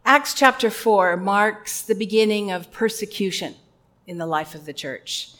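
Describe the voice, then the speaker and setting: A woman with a loud voice preaching an earnest, conversational sermon with a few personal asides. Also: medium pitch, expressive, a little slow.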